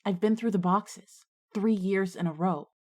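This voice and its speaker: A woman's voice: mid-range voice, measured pace, a little mellow, close to an everyday voice. A woman playing a hardworking, put-upon schoolgirl in a scripted audio drama, plainspoken and wry with some exasperation. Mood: dry, frustrated. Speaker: character and first-person narrator.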